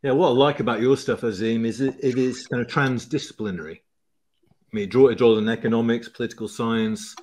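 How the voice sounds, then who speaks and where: A man interviewing in a conversational way, haltingly repeating words as he frames his points. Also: medium pitch, steady tone, darkish tone.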